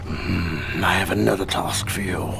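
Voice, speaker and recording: A man's voice: low voice, fairly even intonation, measured pace, creaky. A man voicing a greedy, gluttonous crime boss in a video game, scheming and blustery, ending remarks with "eh?". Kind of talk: video game cutscene acting.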